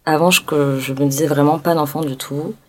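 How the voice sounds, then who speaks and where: A woman's voice: low-pitched, steady tone, brisk, a little mellow. A woman in her twenties giving a frank, casual personal testimony as an interviewee, slightly wry. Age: 20s.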